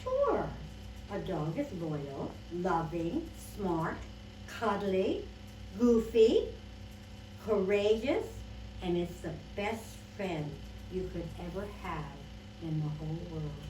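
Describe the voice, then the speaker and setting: A woman's voice: lowish voice, big pitch swings, slow pace, reverberant, distant mic, noisy background. A woman voicing a stubborn, plain-spoken little girl in a storybook reading, firm and insistent. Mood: emphatic.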